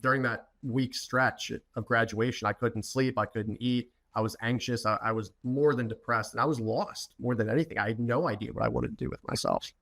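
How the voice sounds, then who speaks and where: A man's voice: mid-range voice, a little fast, gravelly, few pauses, a bit bright. A youngish man from the United States, speaking informally as a podcast guest and telling his own story, often restarting and repeating words. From United States, Philadelphia. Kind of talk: personal storytelling in a podcast interview.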